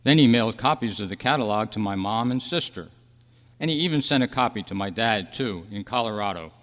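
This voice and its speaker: A man acting in a staged radio drama, with earnest, measured storytelling. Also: on the low side, expressive, a bit bright. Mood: wistful, earnest. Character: Roy, dead thirty-three-year-old man's wistful, peaceful spirit. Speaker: actor and announcer. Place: live club meeting in a hall. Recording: echoey hall with long reverb.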